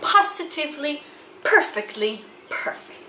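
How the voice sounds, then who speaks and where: A woman acts out a merry storybook moose, a sentimental family man. His exclamations are drawn out and swing widely in pitch, bright in a roomy space. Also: big pitch swings, a little slow, exaggerated voice. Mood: contented. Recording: roomy sound with faint background noise.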